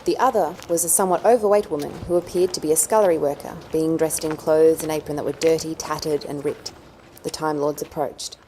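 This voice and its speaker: A woman's voice: low-pitched, steady tone, a little fast, warm tone, slight background noise, poor audio. A woman narrator reading scripted story narration for an audio drama. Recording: music underneath.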